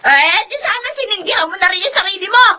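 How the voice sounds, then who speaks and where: An actress playing an angry older woman who scolds her neighbor in a shrill radio-drama voice. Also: animated, fast talker, pushed and bright, distant mic, a little hiss or hum, exaggerated voice. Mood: furious.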